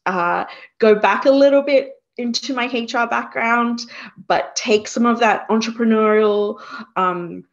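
Unhurried Australian woman guest who thinks aloud; slightly dull lo-fi recording. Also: mid-range voice. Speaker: guest, career coach. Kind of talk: conversational interview.

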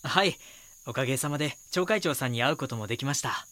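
A man's voice: medium pitch, fast, bright, forceful tone, no echo, lightly acted. Man acting polite young reporter, very dry sound. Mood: earnest, pondering. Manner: polite, inquisitive. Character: young male magazine reporter, polite, earnest, doggedly probing. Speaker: magazine reporter and first-person narrator. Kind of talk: Japanese audio drama dialogue and narration.

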